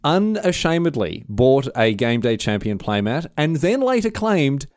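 A man's voice: medium pitch, very animated, a little slow, darkish tone, no echo, studio quality. A young man with a city Australian accent, co-hosting a podcast in a casual, joking way with lots of restarts. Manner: chatty, joking, many restarts. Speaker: podcast co-host. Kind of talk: casual chat.

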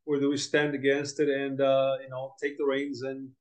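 A man from Brazil, a podcast guest, talking in a halting, filler-heavy way with many restarts. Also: mid-range voice, completely flat delivery. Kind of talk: casual podcast conversation.

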